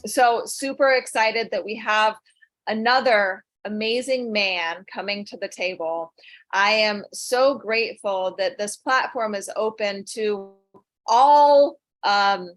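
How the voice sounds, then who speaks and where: A woman's voice: medium pitch, slow pace, dry recording, soft background music. A woman speaking as an event host, introducing a guest speaker.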